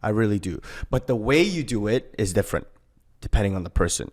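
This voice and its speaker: A man with a fairly low voice, speaking as a guest in a casual podcast conversation. He is emphatic and coach-like, building his points in short bursts. He often ends them with a quick checking question. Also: animated. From born in Korea, later moved to Canada. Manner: emphatic, often tags sentences with "right?". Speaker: personal trainer and boxing coach, podcast guest.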